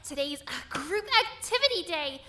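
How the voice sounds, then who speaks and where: A woman's voice: sits high, animated, normal speed, pushed and bright, a little hiss or hum. A woman playing a bubbly, upbeat young woman who talks in a chirpy, eager way. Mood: cheerful.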